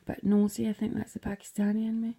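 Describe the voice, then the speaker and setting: Scottish woman, gravelly low-key voice, rambling and sweary, drops to whispers. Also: completely flat delivery, fast talker, dark tone. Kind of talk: casual dream retelling to camera.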